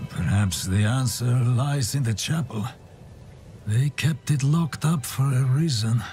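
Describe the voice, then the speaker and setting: A man's voice: medium pitch, dark tone, slight background noise. A man playing a grim, terse wandering warrior in a dramatic video game cutscene. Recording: music behind the voice.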